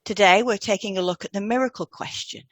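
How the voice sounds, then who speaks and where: A woman co-hosting a podcast as a hypnotherapist, reading a script with measured, deliberate phrasing. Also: mid-range voice, dry recording.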